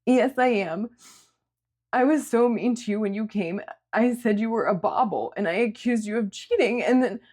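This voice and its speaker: A woman's voice: fairly high pitch, normal speed, a little mellow, voice pushed up, close to an everyday voice. A woman voicing a guilt-ridden, needy young woman in a dramatic audiobook, tearful and pleading. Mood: guilty, tearful. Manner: sobbing, pleading, self-accusing. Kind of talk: dramatic audiobook character acting.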